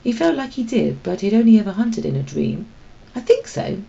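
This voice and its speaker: A woman reading a children's story aloud as narrator, in an expressive storytelling style. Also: mid-range voice, a little fast, vocal fry, slightly rough, muffled recording. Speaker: storybook reader.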